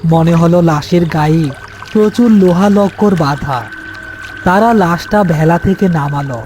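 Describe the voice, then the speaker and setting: A man's voice: a little high, measured pace, darkish tone, faint music behind. A man narrating a scripted horror story as its storyteller.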